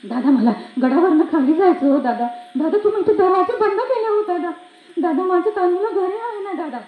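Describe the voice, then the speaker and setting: A woman's voice: very high voice, expressive, a little fast, phone-quality sound, slight background noise, voice pushed up. A woman playing an anxious young milkmaid mother in a stage play, emphatic and pleading, with a rural Maharashtrian accent. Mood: desperate pleading. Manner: emphatic, pleading, repeats calls and words. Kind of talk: theatrical one-act play monologue. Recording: echoey room.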